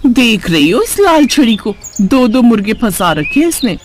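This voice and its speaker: A man's voice: very high-pitched, sing-song, moderate pace, noisy background. A man voicing gossiping, judgmental middle-aged neighbourhood women in a cartoon story, with an insinuating manner. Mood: scornful gossip. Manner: insinuating, disapproving. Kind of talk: cartoon story character acting.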